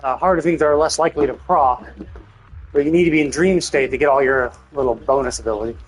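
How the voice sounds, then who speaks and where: Husky-voiced man commentating on a game. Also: mid-range voice, fast, low-quality recording. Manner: thinking aloud, explanatory, mildly profane. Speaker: game streamer. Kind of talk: casual live gameplay commentary.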